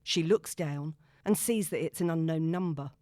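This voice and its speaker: A woman narrating a novel in a wry, expressive storytelling manner. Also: sits low, normal speed, dry recording, studio quality. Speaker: audiobook narrator.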